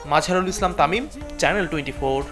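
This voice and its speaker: A man's voice: fairly high pitch, quick pace. A man reading a scripted entertainment news report as a television reporter. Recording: background music under voice-over. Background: music.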